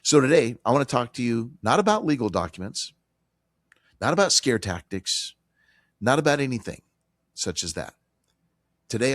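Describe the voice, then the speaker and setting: A man hosting a financial talk radio show, hoarse from a cold, talking emphatically with fillers and repeated phrases. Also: medium pitch, a bit bright, no room sound, clean studio sound. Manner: emphatic, repetitive, conversational with fillers.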